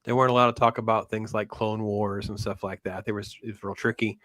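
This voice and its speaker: Fast-talking man in casual chat, dry sound. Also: lowish voice, level tone, fairly bright tone, no echo. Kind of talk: casual chat about comics.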